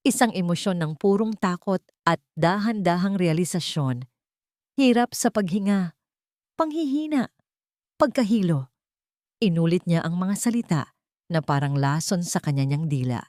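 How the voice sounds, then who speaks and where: A woman narrating an audio drama in a measured, dramatic storytelling manner. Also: lowish voice, lively intonation, darkish tone, no echo, clean studio sound.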